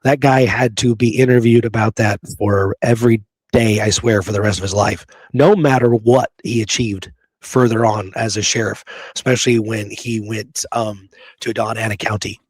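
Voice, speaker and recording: A man's voice: lowish voice, fairly even intonation, normal speed, slightly rough, no echo, rough recording. A man hosting a history discussion, talking informally with emphatic opinions. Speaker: host of a history show.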